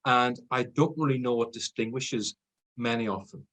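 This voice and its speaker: Husky-voiced Irish man, chatty. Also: mid-range voice, steady tone, moderate pace, a bit bright. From Ireland. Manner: chatty, laughs easily, self-deprecating. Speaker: guest, sales trainer. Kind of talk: casual podcast interview.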